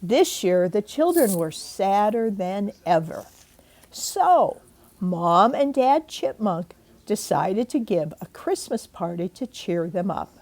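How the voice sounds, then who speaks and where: Older woman, grandmotherly children's read-aloud, unhurried pace, animated intonation, speaks directly to young listeners. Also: medium pitch. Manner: slow and deliberate, with asides and questions to young listeners. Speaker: grandmother reading a picture book aloud. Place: indoors at home.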